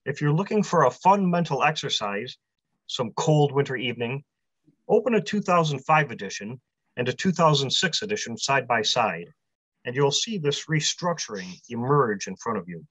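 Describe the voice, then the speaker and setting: A man in his forties, a publisher, giving a prepared convention talk from a small room over a video call, in a measured delivery that runs through long lists. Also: mid-range voice, big pitch swings, a bit bright, breathy edge, no room sound. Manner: measured, list-heavy delivery. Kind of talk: prepared talk read aloud. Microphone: webcam on a video call. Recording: dry, slightly muffled webcam audio.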